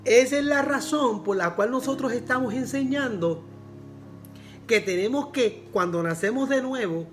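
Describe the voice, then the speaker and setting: Puerto Rican pastor preaching earnestly. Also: male voice, extremely high pitch, moderate pace, soft background music. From Puerto Rico. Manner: repeats phrases for emphasis, earnest preaching. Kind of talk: sermon.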